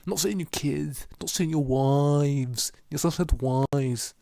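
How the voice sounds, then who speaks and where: A man's voice: fairly high pitch, slow, creaky, mellow, no room sound, studio quality, pitched above the performer's own voice. A man voicing a pushy male motivational ranter who lectures the viewer in a raised, preachy tone. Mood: ranting.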